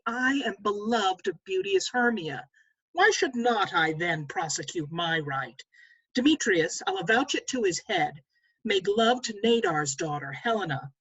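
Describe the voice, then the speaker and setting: A woman's voice: medium pitch, slightly rough, dry recording, exaggerated voice, rough recording. A woman playing a proud, defiant young male lover, reading Shakespearean verse assertively. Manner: animated, assertive. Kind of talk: Shakespearean verse table read. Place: remote video call reading. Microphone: video call.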